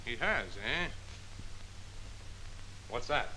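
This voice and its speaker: A curt, impatient colonel. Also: male voice, medium pitch, sing-song, drawn-out words, pushed and bright, full character voice, low-quality recording. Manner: curt, commanding. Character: gruff, impatient male Army colonel. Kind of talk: 1940s training film acting. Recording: 1940s film soundtrack with faint hiss.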